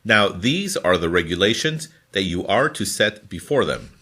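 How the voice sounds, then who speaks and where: A man reading scripture from a formal translation in a deliberate, phrase-by-phrase manner. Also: sits low, sing-song, bright, forceful tone. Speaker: scripture reader.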